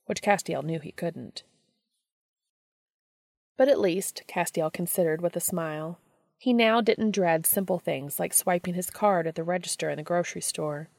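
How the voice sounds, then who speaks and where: A woman's voice: on the low side, creaky, a little mellow, no echo, clean studio sound. A woman reading a story aloud as scripted audiobook narration. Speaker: audiobook reader of fan fiction.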